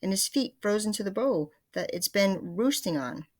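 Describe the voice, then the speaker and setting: A woman's voice: mid-range voice, expressive, normal speed, a little mellow, close to an everyday voice. A woman doing a kindly knowing storybook bird.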